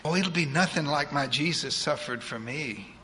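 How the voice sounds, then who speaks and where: A woman's voice: low voice, normal speed, fairly bright tone, slight background noise. A man voicing a bossy, devout older woman, in a preachy sing-song manner. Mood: hushed.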